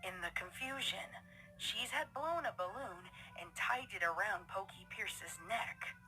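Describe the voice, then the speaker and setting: A woman narrates a scripted story. Her pitch sits in the middle range for a woman, and music plays clearly behind her reading. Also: measured pace, bright, forceful tone, poor audio.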